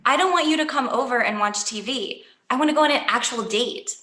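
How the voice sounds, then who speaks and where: A woman's voice: on the high side, fairly quick, echoey room. A woman reading a blunt young painter. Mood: evasive. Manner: calm, blunt, matter-of-fact delivery. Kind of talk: table read of a comedy script.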